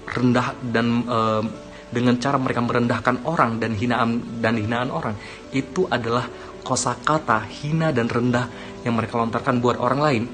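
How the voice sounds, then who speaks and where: A young man from Aceh talking casually to his viewers, rambling with many fillers and self-corrections, giving encouragement. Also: medium pitch. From Aceh, Indonesia. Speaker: vlogger. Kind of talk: casual talk to camera.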